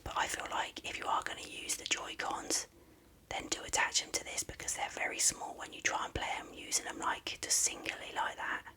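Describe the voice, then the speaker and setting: A person whispering an ASMR unboxing as the video's host, in soft, unhurried, rambling commentary.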